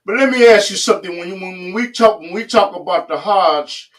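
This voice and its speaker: A male host asks earnest questions and often affirms what his guest says. His voice is somewhat high and sounds a little far from the microphone. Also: a little fast. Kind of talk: interview questions.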